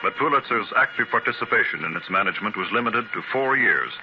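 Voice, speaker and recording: A man's voice: on the low side, lively intonation, fast, natural voice. A man narrating a 1930s radio biography drama in a formal, dramatic announcer's delivery. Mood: grave. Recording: noisy, dull 1930s radio transcription.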